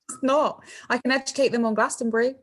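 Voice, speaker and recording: A woman's voice: a little high, steady tone, a little fast, a bit bright. A middle-aged woman, a singer chatting as a podcast guest, laughing often and padding her talk with fillers and tag questions. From England. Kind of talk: casual interview chat.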